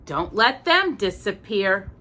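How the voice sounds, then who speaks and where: A woman's voice: medium pitch, sing-song, takes it slowly, sharp tone. A woman reading a children's picture book aloud as a storytime reader, expressively and with small asides to a young listener.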